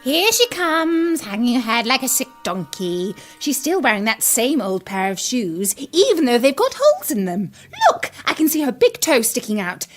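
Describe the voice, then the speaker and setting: A woman's voice: on the high side, sing-song, moderate pace, few pauses, soft background music. A woman playing a scornful wicked stepmother queen in a children's story, catty and disdainful.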